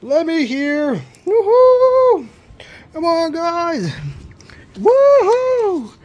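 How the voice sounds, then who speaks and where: A man voicing a rowdy young male Koopa kid who is hyped to crash a party, whooping and shouting. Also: very high voice, very animated, drawn-out words, lo-fi sound, voice pushed up, heavily acted.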